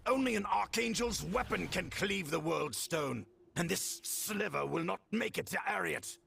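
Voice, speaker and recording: Indignant, high-pitched gravelly fantasy hero. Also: male voice, animated, measured pace, bright, forceful tone, slight background noise, full character voice. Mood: indignant, urgent. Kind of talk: dramatic fantasy video game voice acting.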